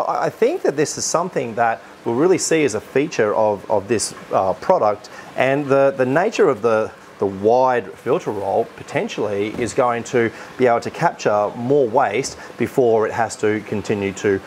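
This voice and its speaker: A man's voice: mid-range voice, animated, moderate pace, darkish tone, a little hiss or hum. An Australian man hosting a product unboxing. He talks with enthusiasm and often restarts his sentences with fillers. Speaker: aquarium shop host.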